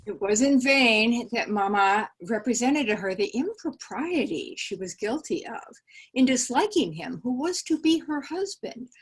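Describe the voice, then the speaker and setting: A woman reading a breathy gossiping letter-writer. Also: a little high, poor audio. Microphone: video call.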